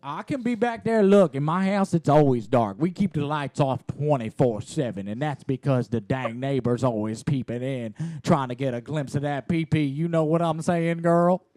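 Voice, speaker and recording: Man putting on a folksy, high-voiced Southern husband. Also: normal speed, hardly any pauses, a little mellow, dry recording, close to an everyday voice. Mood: folksy, brash. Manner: folksy drawl, rambling. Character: rambling, crude country husband, folksy and brash. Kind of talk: improvised prank call.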